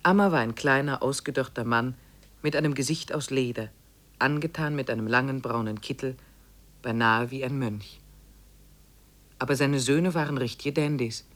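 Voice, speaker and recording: An actor in a 1950s radio drama playing a reflective trekker who narrates his expedition diary in a measured way. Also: mid-range voice, fairly bright tone, natural voice. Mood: measured storytelling.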